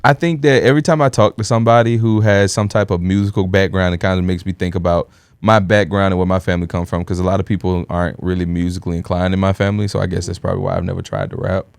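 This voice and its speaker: Male podcast host, low gravelly voice, dry close sound, relaxed interviewer. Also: quick pace, no room sound. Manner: laid-back, brief encouraging replies. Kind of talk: casual interview chat.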